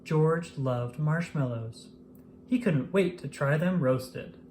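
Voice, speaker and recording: A narrator reading a children's picture book aloud in an expressive, scripted storytelling style. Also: mid-range voice, slow, a little hiss or hum. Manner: expressive, acts out exclamations and dialogue.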